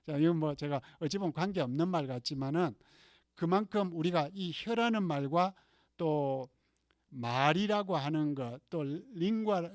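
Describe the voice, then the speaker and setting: Male pastor with a Gyeongsang accent, conversational sermon full of rhetorical questions, fairly high voice, dry recording. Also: dry recording. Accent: Gyeongsang Korean. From Gyeongsang region, Korea. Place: church.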